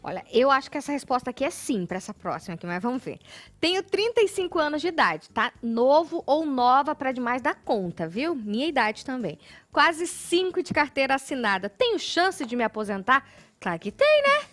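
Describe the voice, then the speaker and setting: A Brazilian woman TV host reading viewers' questions out loud, adding playful comments. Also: sits high, brisk. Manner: lively, playful asides when reading. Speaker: TV show host. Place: TV studio.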